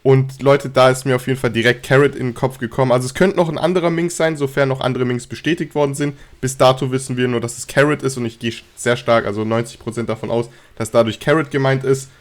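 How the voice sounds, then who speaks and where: A man's voice: medium pitch, fast, hardly any pauses. Young man with a cold. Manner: chatty, filler-heavy, addresses viewers directly. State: has a cold, slightly hoarse. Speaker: gaming news youtuber. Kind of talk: casual news commentary.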